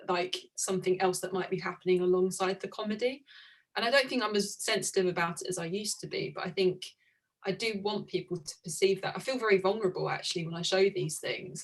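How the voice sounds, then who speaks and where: A woman artist in conversation, reflective, often searching for words and laughing easily. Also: lowish voice. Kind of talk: conversation about art.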